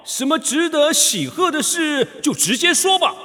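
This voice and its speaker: A man's voice: very high-pitched, sing-song, moderate pace, sharp tone, full character voice. A man in a period radio drama playing an imperious, ruthless warlord, commanding and impatient, in a reverberant hall. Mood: impatient, curious. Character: imperious, ruthless male warlord of old China.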